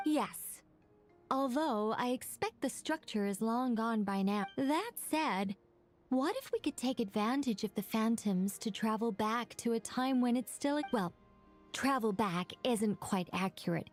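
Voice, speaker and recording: A woman's voice: fairly high pitch, lively intonation, measured pace, soft background music. A woman voicing an eager young scholarly mystic in an anime-style video game, wordy and flustered, trailing off and correcting herself. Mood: absorbed, explaining.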